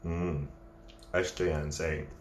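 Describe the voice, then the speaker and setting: Man, very low voice, chatty live-stream host, echoey room with faint hiss. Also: level tone, takes it slowly. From England. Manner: chatty, reads out and answers viewer comments. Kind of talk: casual live-stream chat while eating. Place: home at night, live stream.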